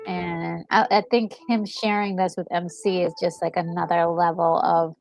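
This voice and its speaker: A woman with a fairly low voice chats casually as a gaming co-host. She is enthusiastic but halting, working out her thoughts as she speaks, with faint music behind her. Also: flat delivery, vocal fry, a little mellow. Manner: enthusiastic, halting and thinking aloud. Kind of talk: casual reaction chat about a video game.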